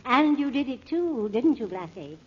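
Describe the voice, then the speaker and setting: A woman's voice: high voice, very animated, moderate pace, slightly rough, exaggerated voice. An actress playing a formal, insistent charity chairwoman in a 1940s radio drama. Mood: alarmed, insistent. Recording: dull, noisy 1940s radio recording.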